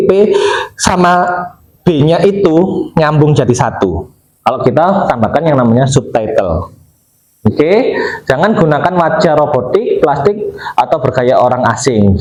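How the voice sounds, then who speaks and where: A man's voice: a little high, darkish tone. A male teacher giving a classroom lecture, explaining step by step and asking and answering his own questions. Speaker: vocational school teacher. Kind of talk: classroom lecture with screen demonstration. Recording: roomy echo.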